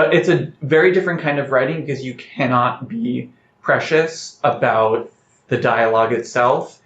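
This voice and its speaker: A man with a middle-pitched voice answers as a guest in an interview. The speech is articulate and explanatory, told like anecdotes. The sound is echoey and a little dull. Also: a bit bright. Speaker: playwright guest. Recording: roomy, slightly dull sound with faint noise.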